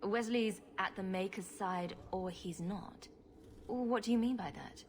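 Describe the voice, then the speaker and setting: A woman's voice: mid-range voice, unhurried, natural voice. A woman voicing a wry rogue adventurer in a video game, with a dry, teasing, sarcastic manner. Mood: curious, wry.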